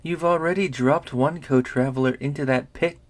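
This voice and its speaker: A man voicing a mocking, sinister fortune-telling sorcerer in a storybook reading, with theatrical, drawn-out phrasing. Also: medium pitch, lightly acted. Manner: taunting, drawn-out, theatrical delivery.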